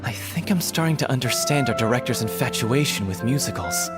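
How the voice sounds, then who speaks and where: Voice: mid-range voice, expressive, fairly quick, natural voice. A voice actor playing an earnest, grateful young troupe performer. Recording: game dialogue over loud music.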